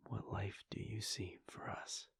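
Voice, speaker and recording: A man voicing a weary, devoted male vampire prince in a soft, intimate whisper, speaking in slow, halting, heartfelt confessions. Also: no room sound, lightly acted. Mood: tender, hushed. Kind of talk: whispered audio roleplay.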